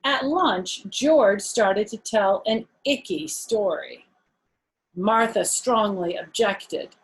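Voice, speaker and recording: A woman's voice: medium pitch, lively intonation, slow pace. A woman storyteller reading aloud to young children, adding casual explanations and questions as asides.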